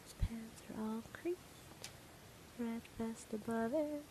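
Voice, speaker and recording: Actress as whispering childlike patient, slow sing-song, hissy take. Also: female voice, a little high, voice pushed up. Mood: dreamy.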